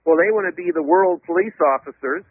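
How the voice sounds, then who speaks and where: A man speaking as an interview guest, explaining at length in an emphatic, didactic, loud voice. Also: high voice, fast talker, slight background noise. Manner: emphatic, didactic, spells out words letter by letter. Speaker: interview guest, legal theorist. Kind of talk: explanatory radio interview monologue. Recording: dull, lo-fi radio or phone sound.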